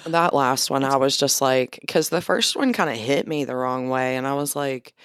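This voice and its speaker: A woman with a deep voice co-hosting a podcast, chatting in a laid-back way and chuckling as she tells stories. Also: normal speed, clear, resonant tone, no room sound, studio quality. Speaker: podcast co-host. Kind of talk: casual chat. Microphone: studio podcast microphone.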